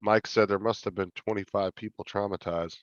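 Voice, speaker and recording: A man's voice: fairly low pitch, steady tone, brisk. A man in casual group chat, teasing the others and reading comments aloud. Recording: dull lo-fi mic with faint noise.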